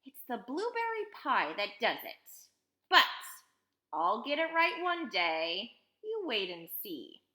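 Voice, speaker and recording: A woman's voice: fairly high pitch, wildly swooping pitch, takes it slowly, bright, forceful tone, pitched above the performer's own voice, heavily acted. A woman reading a children's story, voicing an eccentric male candy inventor with a rueful, sighing manner. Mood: rueful, resigned. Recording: roomy, echoing sound.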